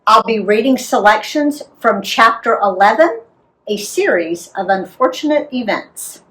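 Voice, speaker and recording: An American woman reads a children's travel memoir aloud. Her pitch moves around a lot and she takes her time, shaping the sentences with a deliberate, animated storyteller's delivery. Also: rough recording. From United States. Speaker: author and narrator.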